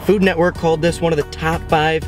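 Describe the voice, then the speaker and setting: A man's voice: fairly high pitch, little pitch movement, fairly quick, faint music behind. A young man in a parked car, enthusing about food and saying dude a lot. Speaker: food vlogger co-host. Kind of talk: casual food review chat. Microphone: dashboard camera.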